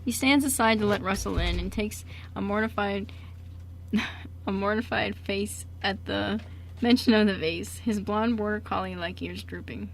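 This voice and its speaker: A woman's voice: mid-range voice, unhurried, gravelly, poor audio. A woman narrating an amateur radio play from a script, stumbling now and then as she reads aloud. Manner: stumbles while reading aloud. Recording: background room noise.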